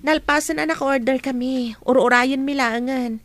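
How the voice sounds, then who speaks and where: A woman playing a sensible teenage schoolgirl in a radio drama, chatty and gently scolding, with an Ilocano Filipino accent. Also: high voice, steady tone, moderate pace. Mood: chiding. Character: teenage schoolgirl, sensible, chiding best friend.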